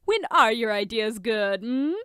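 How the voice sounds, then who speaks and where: A woman's voice: fairly high pitch, lively intonation, measured pace, bright, forceful tone, smooth, dry recording, clean studio sound. A woman voicing a smug, taunting male billionaire villain in a fan fiction reading. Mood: sneering.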